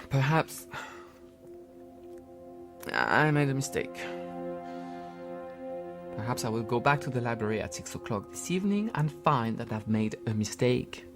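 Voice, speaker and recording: A man with a British accent playing a stern, patronizing, quietly threatening teacher in a radio drama. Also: mid-range voice, big pitch swings, over music. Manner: measured, probing, patronizing.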